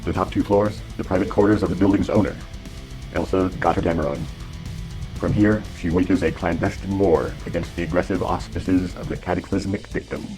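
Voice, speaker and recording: A man giving dramatic, grandiose scripted narration for an adventure serial, with deliberate phrasing. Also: deep voice, fairly even intonation, a little mellow, faint music behind, lightly acted.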